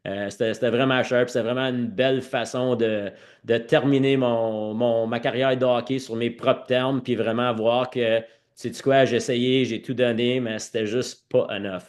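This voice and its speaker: A man's voice: medium pitch, fairly even intonation, normal speed. French Canadian man recounting his past. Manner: mixes English words into his French. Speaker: podcast guest, former hockey player. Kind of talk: conversational personal storytelling.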